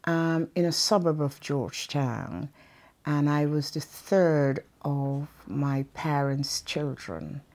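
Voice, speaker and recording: Older woman from Guyana, oral history interviewee; low voice, unhurried, reflective. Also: a little mellow. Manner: slow, thoughtful, with frequent pauses and ums. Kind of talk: reminiscing interview.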